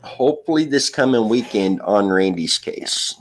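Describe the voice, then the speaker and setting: A man from a search and recovery team on a live stream, explaining things in a slow, deliberate, measured way. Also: lowish voice, expressive. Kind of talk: casual live-stream discussion.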